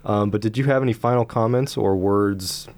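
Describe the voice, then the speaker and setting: A man's voice: fairly low pitch, normal speed, a little mellow. A young man hosting a college radio interview, talking in a laid-back, casual way full of fillers. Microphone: studio microphone.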